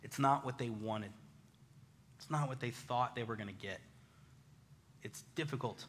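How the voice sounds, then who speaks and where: An American man preaching a conversational sermon, casual, animated and self-deprecating, telling personal stories as a pastor. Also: medium pitch, a little slow, a little hiss or hum. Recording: reverberant hall.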